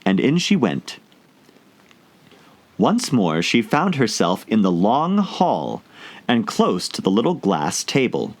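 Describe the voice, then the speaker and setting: A man's voice: on the low side, wildly swooping pitch, slow pace, pauses often. A man reading a storybook aloud as an audiobook narrator, in scripted narration.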